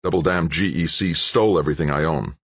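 Cocky male fugitive tycoon, as a man reads him: low, slightly rough voice, wry, defiant, audiobook hero. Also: quick pace, fairly bright tone, dry recording, heavily acted. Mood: winded, wry. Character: cocky, battered male space tycoon on the run.

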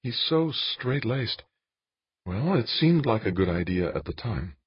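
A man's voice: fairly low pitch, very animated, measured pace, breathy edge, no echo, highs cut off, voice pushed up, full character voice. A man voicing an anguished woman politician in an audiobook, earnest and pleading with her lover. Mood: strained, reproachful. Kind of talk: audiobook character dialogue.

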